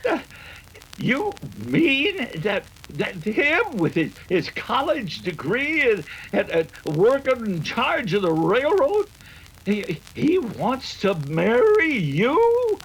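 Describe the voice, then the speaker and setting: A man's voice: very high-pitched, huge pitch swings, slow, slight background noise, exaggerated voice, poor audio. A man playing a flustered, bumbling old rancher father with a rustic Western accent, stammering and folksy, in an old radio drama. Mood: bewildered, delighted. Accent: rustic American West. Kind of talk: 1930s western radio acting.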